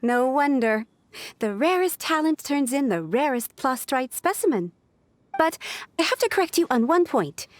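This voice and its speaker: High-voiced officious young secretary. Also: female voice, sing-song, moderate pace, dry recording. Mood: prim correcting. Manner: formal, businesslike, crisp wording. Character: precise, polite, officious young female secretary. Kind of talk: animated game voice acting.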